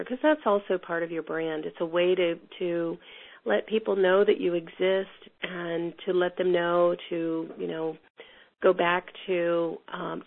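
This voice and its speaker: A woman with a cold, sounding a bit hoarse, teaching an online class in a rambling way with lots of fillers and restarts. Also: on the low side. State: has a cold, a little hoarse. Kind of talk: teaching a class over a call. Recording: tinny phone line.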